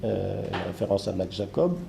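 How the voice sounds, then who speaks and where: A man with a congested head cold gives a halting, digressive introduction as host of a seminar. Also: sits low, animated, vocal fry. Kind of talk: spoken introduction of a seminar, semi-improvised. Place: seminar room with an audience. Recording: echoey room with faint background noise.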